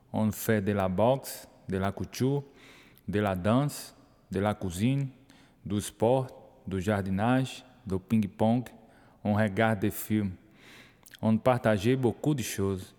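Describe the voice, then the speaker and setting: Slow, low Brazilian-accented man. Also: mellow. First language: Portuguese. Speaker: exhibition participant. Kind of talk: scripted narration, listing. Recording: long reverb.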